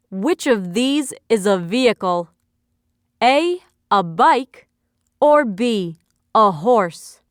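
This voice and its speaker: A woman's voice: medium pitch, smooth, no echo, clean studio sound. A woman reading a scripted vocabulary quiz for learners in a carefully phrased, instructional way. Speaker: vocabulary teacher.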